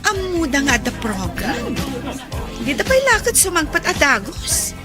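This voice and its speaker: A woman's voice: high-pitched, big pitch swings, measured pace, over music. A woman playing a nosy, gossiping young woman at an event in a radio drama. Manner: gossipy and curious.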